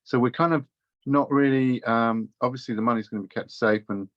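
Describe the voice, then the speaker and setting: A man explaining a community funding idea on a video call, halting with many fillers and restarts. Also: medium pitch, fairly even intonation, no echo, dull audio. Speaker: community project co-founder. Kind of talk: casual video call chat.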